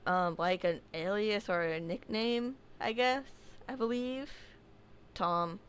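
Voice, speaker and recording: A woman narrating a submitted true horror story, reading slowly with small slips and casual asides. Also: medium pitch, smooth.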